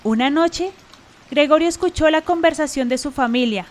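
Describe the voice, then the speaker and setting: A woman's voice: high-pitched, normal speed. A woman narrator telling a scripted story for a radio drama.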